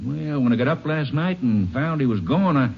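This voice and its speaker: An actor playing a nervous, shifty crook who feigns innocence with folksy, stammering evasions in an old radio crime drama. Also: male voice, medium pitch, expressive, moderate pace, vocal fry, slightly rough. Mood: feigned regret. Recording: muffled, noisy old radio recording.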